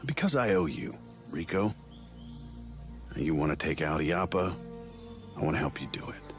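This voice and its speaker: Game role: breezy older operative, wheedling schemer, swooping intonation on a fairly low voice. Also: male voice, fairly bright tone. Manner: folksy, wheedling, glib. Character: sly, breezy older male agency operative, smooth-talking schemer. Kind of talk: video game cutscene acting.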